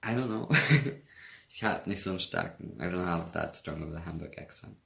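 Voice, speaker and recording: Young german guy on a phone livestream, low voice with lots of fry, laid back and rambling. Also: animated, moderate pace, a bit bright. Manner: laid-back, rambling, reads comments aloud, laughs often. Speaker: livestreamer answering comments. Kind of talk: casual chat.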